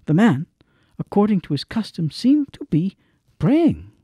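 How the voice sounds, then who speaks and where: A man narrating a drama from a script, with measured, solemn storytelling. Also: high voice, big pitch swings, mellow, husky, dry recording, studio quality.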